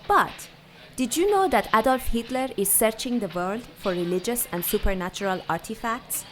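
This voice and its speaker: An actress playing a poised, worldly woman with measured, formal speech in a 1940s noir radio drama. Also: on the high side, animated, a little hiss or hum, close to an everyday voice. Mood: persuasive. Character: poised, worldly 1940s female emissary of a scientist.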